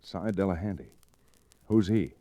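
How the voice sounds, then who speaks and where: An actor playing a level-headed, educated frontier lawyer hero in an old-time radio western, calm and measured. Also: male voice, deep voice, no echo. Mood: calm, serious. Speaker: lead actor and in-character narrator. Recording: restored vintage radio drama recording.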